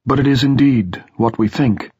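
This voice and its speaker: A man voicing an elderly headmaster who is courtly, grave and resolute, with formal, pedantic, measured diction in an audiobook. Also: medium pitch, dark tone, breathy edge, no room sound, dull audio, pitched above the performer's own voice. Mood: pedantic, thoughtful.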